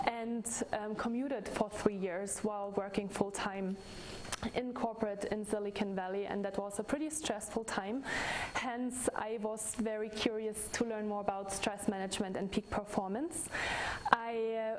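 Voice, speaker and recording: A woman's voice: medium pitch, slight background noise. A woman in her thirties from Germany with a German accent, giving a chatty live talk to an audience as a stress management coach. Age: 30s. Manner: friendly, chatty, with restarts. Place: room with audience.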